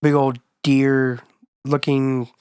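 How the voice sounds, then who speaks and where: Hoarse male podcast co-host, easygoing slangy rambler, mid-pitched, dry close sound. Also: level tone, takes it slowly, a little mellow, dry recording. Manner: laid-back, rambling slangy talk. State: hoarse from being sick. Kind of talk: casual chat.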